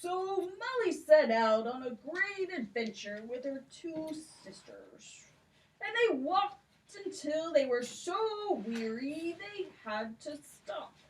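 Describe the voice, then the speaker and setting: A woman librarian telling a fairy tale to young children, sing-song and unhurried. Her pitch is high and moves a lot. She sits some way from the mic. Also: sing-song, far from the mic, a little hiss or hum, low-quality recording. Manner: slow, sing-song storyteller. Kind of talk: storybook narration for children.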